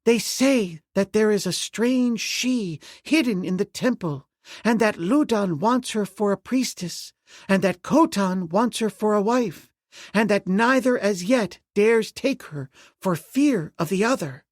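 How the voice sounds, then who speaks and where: A man's voice: high-pitched, expressive, a little slow, no room sound, clean studio sound, voice pushed up. A man voicing a loyal, earnest young slave girl in a storybook reading, speaking emphatically.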